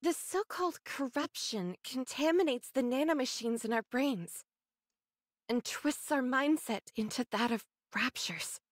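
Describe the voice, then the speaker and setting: An actress playing an earnest, scholarly young android researcher in a video game, speaking in a measured, precise way. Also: female voice, high voice, dry recording. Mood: earnest, composed. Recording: dry studio voice, faint game music.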